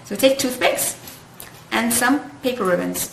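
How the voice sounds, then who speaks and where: High-voiced creaky woman teaching a craft. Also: animated, measured pace. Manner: encouraging, praising. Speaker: craft tutorial host and mother. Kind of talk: casual step-by-step craft instruction to camera and child. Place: indoors at a home dining table. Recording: roomy echo, clear background noise and clicks.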